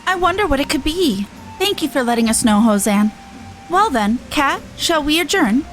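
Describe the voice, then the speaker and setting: A woman's voice: high-pitched, sing-song, normal speed, a bit bright, soft background music. A woman playing a dignified, gracious leader in a video game, speaking formally and with composure. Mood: gracious, polite.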